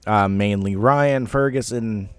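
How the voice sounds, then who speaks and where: A man's voice: lowish voice, slow, clear, resonant tone, no echo. A man in his thirties telling a personal story casually, in a rambling, self-deprecating way with some mild swearing. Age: 30s. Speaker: online storyteller.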